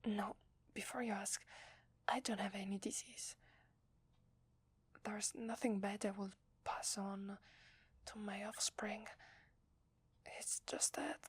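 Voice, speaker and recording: A woman with an Italian accent playing a gentle, loving young wife in a whispered, hesitant ASMR roleplay. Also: medium pitch, level tone, no room sound. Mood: nervous, earnest. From Italy. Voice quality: breathy, whispery. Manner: slow, hesitant, tender. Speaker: ASMR voice actor.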